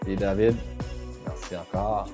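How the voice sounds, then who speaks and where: A man's voice: deep voice, flat delivery, measured pace, over music. A man from Quebec with a Québécois French accent, talking earnestly as a podcast host in a casual conversation and slipping in English words. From Quebec, Canada.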